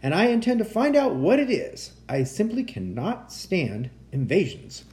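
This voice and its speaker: A man's voice: sits high, huge pitch swings, a little slow, darkish tone, voice pushed up, exaggerated voice. A man voicing a suspicious, self-important wild boar in a children's storybook, speaking pompously and emphatically. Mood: indignant.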